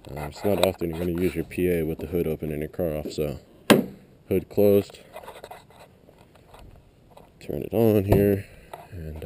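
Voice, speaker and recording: A man giving a casual, unscripted hands-on how-to demonstration in a plain-spoken, explanatory way, recorded on an action camera. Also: low voice. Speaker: amateur installer giving a car tutorial. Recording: noisy action camera, vehicle ambience.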